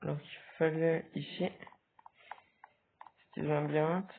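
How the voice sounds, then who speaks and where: A man with a cold giving hesitant, casual commentary over a game, with sudden excited outbursts. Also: on the high side, takes it slowly, vocal fry, slight background noise. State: stuffy with a cold. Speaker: gaming video creator. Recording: tinny phone-like recording.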